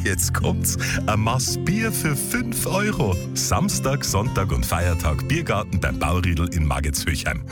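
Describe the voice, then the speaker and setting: An actor playing an enthusiastic newcomer who playfully praises country life in a comic radio ad. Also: male voice, lowish voice. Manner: animated, playful.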